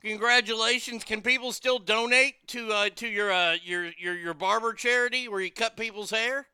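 A man's voice: high voice, steady tone, moderate pace, bright, forceful tone, clear, resonant tone, no echo. A man hosting a talk radio show, chatting loosely and crudely and reading out listener messages. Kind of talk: casual talk radio banter.

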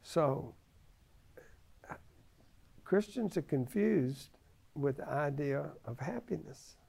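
A man in his seventies with a creaky voice, giving conversational religious teaching in a halting way, repeating phrases for emphasis. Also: on the high side, animated, dark tone. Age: 70s.

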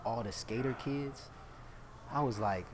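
A man in his early thirties from Oakland, California, talking casually on a podcast in an animated, slang-heavy way. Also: mid-range voice, a little slow, noisy background. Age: 30s. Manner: animated, agreeable back-and-forth with slang.

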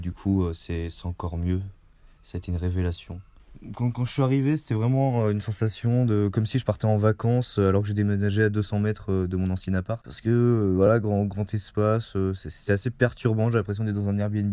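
A man's voice: lowish voice, mellow, no room sound. A young man, a student talking casually and reflectively about his room, with many fillers and restarts. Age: young adult. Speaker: student interviewee.

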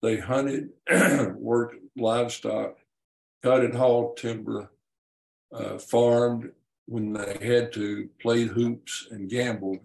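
A man, an author and podcast guest, telling and explaining a historical story in a measured, deliberate way. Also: medium pitch, fairly even intonation, gravelly, a little mellow, rough recording. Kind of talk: reading his own historical story and explaining it.

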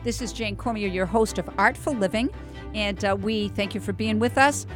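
A middle-aged American woman hosting a talk radio show in a chatty, animated way with emphatic asides and rhetorical questions. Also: medium pitch, normal speed, music in the background. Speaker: radio host.

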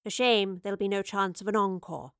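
A woman's voice: medium pitch, fairly even intonation, fairly quick, a bit bright, no room sound, natural voice. A woman voicing a smug, amused lady professor with a dry, teasing manner in an audiobook story. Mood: mocking.

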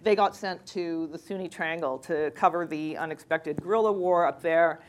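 A woman, a freelance journalist giving a measured, anecdotal personal account during a panel discussion. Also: on the low side, a little hiss or hum. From Canada.

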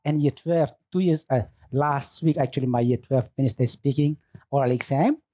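A middle-aged man from Indonesia, speaking English as a later language, answering interview questions as a teacher in a thoughtful, unhurried way. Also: mid-range voice, mellow, a little hiss or hum. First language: Lamaholot. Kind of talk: relaxed radio interview. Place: studio.

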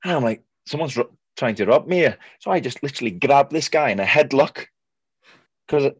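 A man's voice: medium pitch, expressive, brisk, slightly rough, no room sound. A Welsh man whose first language is Welsh, telling chatty, laughing stories as a footballer guest on a video call. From North Wales. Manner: chatty, laughs often, many fillers. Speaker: goalkeeper guest. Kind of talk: casual storytelling interview.

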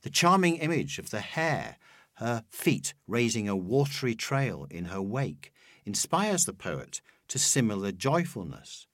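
Expressive man reading a literary essay. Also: on the low side, measured pace, dry recording, clean studio sound. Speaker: essay reader and narrator. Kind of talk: scripted literary narration with poetry quotations.

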